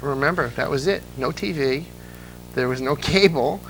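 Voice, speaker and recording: A man's voice: fairly high pitch, normal speed, creaky, a bit bright, a little hiss or hum, low-quality recording. A man hosting a casual interview, telling stories with enthusiasm and frequent restarts. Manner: enthusiastic storyteller, restarts often. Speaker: interview host. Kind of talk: casual nostalgic interview chat.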